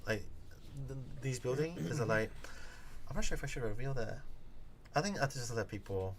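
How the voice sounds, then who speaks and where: A man's voice: medium pitch, lively intonation, normal speed, slight background noise. A man reacting to game footage in casual chat, hesitant, with many fillers and restarts.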